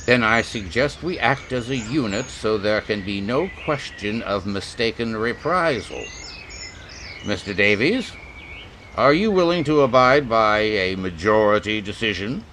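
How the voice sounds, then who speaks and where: A man playing a cold, commanding major in a radio western, speaking formally and with measured authority. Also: lowish voice, animated, pushed and bright, a little hiss or hum. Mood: stern command. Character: cold, commanding major leading a lynch mob. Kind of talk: old-time radio drama acting.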